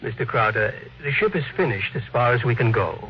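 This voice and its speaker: An actor playing a formal, deferential project manager, speaking precisely in old-time radio drama style. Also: male voice, mid-range voice, expressive, brisk, breathy edge. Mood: businesslike. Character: deferential, businesslike male project manager reporting to boss. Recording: muffled, hissy vintage radio recording.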